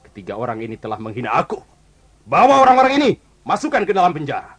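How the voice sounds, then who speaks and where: A man acts out a proud, wrathful storybook king in an audio drama. The voice is fairly high and swings widely in pitch, with a commanding, imperious manner. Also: huge pitch swings, quick pace, a bit bright, breathy edge, heavily acted. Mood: angry, commanding. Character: haughty, wrathful king in a fairy tale. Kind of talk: audio fairy-tale drama acting.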